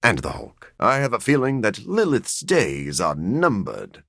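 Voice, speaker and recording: A brooding scientist vampire from a video game, courteous and dryly witty. His husky voice swings expressively between measured, formal phrases. The sound is close and dry. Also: lowish voice, huge pitch swings, a bit bright, no room sound, full character voice. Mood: stern, wary. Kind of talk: video game character voice acting.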